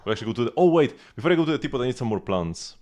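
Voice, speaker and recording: A man's voice: mid-range voice, sing-song, rapid-fire, a little mellow, slight background noise. A man giving casual, offhand live commentary as a game streamer, thinking aloud as he plays and chats with viewers. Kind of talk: casual gaming livestream commentary, chatting with viewers. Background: music.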